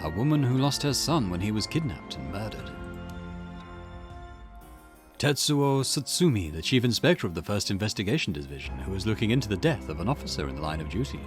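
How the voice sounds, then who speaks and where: A man reading as a mysterious visual novel storyteller, formal, measured and dramatic. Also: lowish voice. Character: mysterious theatrical storyteller introducing a tale.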